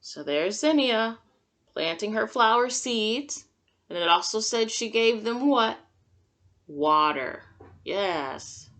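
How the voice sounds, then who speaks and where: A woman's voice: fairly high pitch, lively intonation, slow pace, fairly bright tone. A woman teacher reading a picture book aloud to young children, adding simple, encouraging comments and questions as she goes. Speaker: kindergarten teacher.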